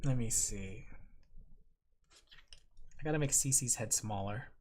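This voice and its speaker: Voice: mid-range voice, slow, a little mellow. A vtuber streamer who giggles often and chats playfully with viewers while drawing. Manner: giggly, playful, talks to chat. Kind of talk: casual livestream chat while drawing.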